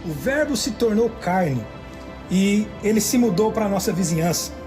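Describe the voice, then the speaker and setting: A man's voice: high-pitched, lively intonation, normal speed, over music. A man giving an earnest testimony in a ministry video.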